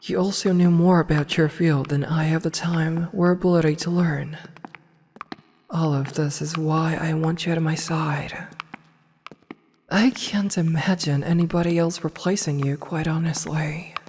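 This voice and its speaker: A woman playing an imperious evil empress in a fantasy ASMR roleplay, with haughty, formal, measured speech and intimate delivery. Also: low-pitched, steady tone, a little mellow. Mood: tender, earnest. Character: imperious evil empress, adult woman, softening toward her advisor. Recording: long reverberant hall, faint noise.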